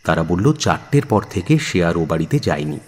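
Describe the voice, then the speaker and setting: A man's voice: low voice, very animated, normal speed, husky. A man narrating a literary story in a dramatic audio-book style. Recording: long reverb, music behind. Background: music.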